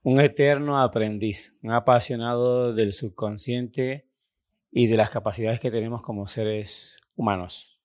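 A man's voice: mid-range voice, fairly even intonation, a little mellow. Earnest Cuban man telling his story. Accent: Cuban Spanish. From Cuba. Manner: earnest, slow and emphatic, with sudden quick runs. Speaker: podcast guest, personal development coach. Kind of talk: storytelling interview answers.

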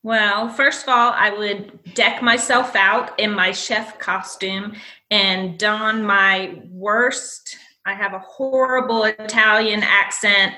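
A woman's voice: fairly high pitch, sharp tone, rough recording. A woman school librarian from North Carolina, answering interview questions in an upbeat, enthusiastic way. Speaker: school library media specialist.

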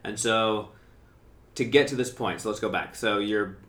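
A man hosting a podcast, asking interview questions in a halting way and often restarting them. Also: fairly low pitch, fairly bright tone. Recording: echoey room.

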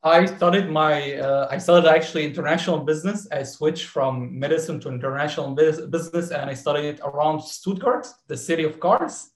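A man's voice: on the high side, a little fast, hardly any pauses, reverberant. A man in his late twenties with a Syrian accent and Arabic as his first language, talking as a guest in a conversational interview. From southern Syria. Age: late 20s. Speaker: podcast guest.

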